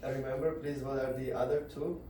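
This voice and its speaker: A man giving a devotional scripture lecture to a group indoors, halting and searching for words as he explains. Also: medium pitch, flat delivery, mellow, a little hiss or hum. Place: indoor gathering room. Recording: very echoey room, voice far off.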